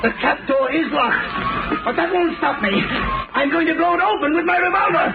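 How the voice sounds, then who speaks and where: An actor playing a crazed, vengeful poisoner in 1930s radio melodrama, ranting and taunting. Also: male voice, very high voice, normal speed, vocal fry. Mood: gloating, taunting. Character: crazed, vengeful male poisoner with a maniac's strength. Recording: old tinny 1930s radio broadcast, loud music.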